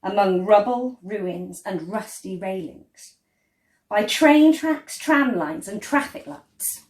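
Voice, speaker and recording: A woman tells a picture-book story at a slow, deliberate pace. Her voice sits at a medium pitch, and she shapes phrases with dramatic emphasis and pauses. The room adds a noticeable echo. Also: animated. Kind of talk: expressive dramatic story reading. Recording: roomy, echoey indoor sound.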